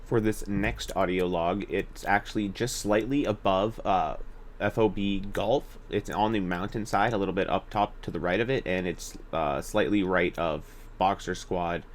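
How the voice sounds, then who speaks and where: A man with a fairly low voice talks through a gameplay guide in a casual, explanatory way. He is halting and meandering, often correcting himself as he goes. There is clear background noise behind his voice. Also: steady tone. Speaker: video game guide narrator. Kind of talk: casual gameplay walkthrough commentary.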